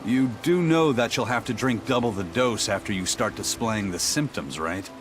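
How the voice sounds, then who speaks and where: A man's voice: fairly low pitch, moderate pace, noisy background, close to an everyday voice. A man voicing a stern, proud pirate in a video game, matter-of-fact but dramatic. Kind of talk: English video game voice acting.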